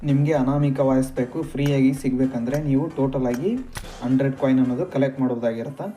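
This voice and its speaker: A man narrates a gaming how-to at a quick clip. His voice is very dark and soft-edged, staying even in pitch from phrase to phrase. Faint music plays behind him. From Karnataka, India. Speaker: gaming channel host. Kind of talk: scripted tutorial narration. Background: background music.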